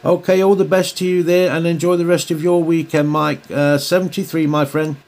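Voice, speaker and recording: A man's voice: on the high side, little pitch movement, normal speed, background noise. A man chatting as an amateur radio operator, courteous and using radio procedure phrases. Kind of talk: two-way radio contact chat.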